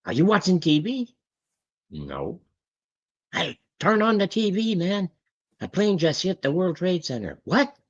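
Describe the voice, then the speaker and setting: A man's voice: high-pitched, huge pitch swings, measured pace, pauses often. Muffled sixty-something man. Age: 60s. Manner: rambling, swears casually, acts out quoted voices. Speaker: guest on comic livestream. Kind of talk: casual storytelling chat. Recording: muffled lo-fi call audio.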